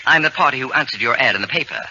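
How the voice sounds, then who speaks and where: A pompous genius of a babysitter, formal and disdainful. His tone is piercingly bright and his pitch moves in lively swoops. It is 1940s radio comedy, on a dull, slightly noisy old recording. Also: racing. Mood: haughty. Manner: haughty, precise, formal, condescending. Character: pompous, fussy, self-declared genius male babysitter who dislikes children.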